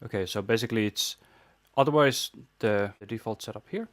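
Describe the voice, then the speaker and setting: Low-voiced man presenting a tutorial, dry sound. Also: a little fast, a bit bright, dry recording. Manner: informal step-by-step explaining. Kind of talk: casual software walkthrough.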